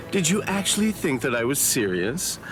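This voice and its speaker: A man's voice: on the high side, big pitch swings. A man voicing a sly, taunting, falsely friendly swordsman captain in an anime game dub. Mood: mocking, playful. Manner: teasing, drawn-out, falsely polite. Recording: prominent music behind voice.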